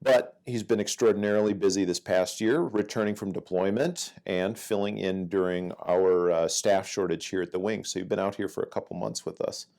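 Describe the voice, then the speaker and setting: A man's voice: deep voice, fairly even intonation, moderate pace, creaky, hardly any pauses, a little mellow. A middle-aged man hosting an interview, asking friendly, encouraging questions. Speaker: interviewer, command chief. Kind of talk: interview host.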